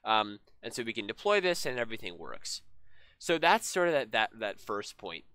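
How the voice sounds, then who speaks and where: A young man teaching a coding lesson in a loose, casual way, slightly hoarse from being sick and full of ums and restarts. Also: fairly low pitch, normal speed, no room sound. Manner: relaxed, many ums and restarts. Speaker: programming tutorial host. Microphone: headset.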